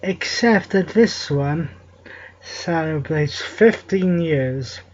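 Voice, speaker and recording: A man's voice: a little high, animated, very slow, muffled recording, a little hiss or hum. A man co-hosting a wrestling talk show in casual chat, making jokey wordplay with names.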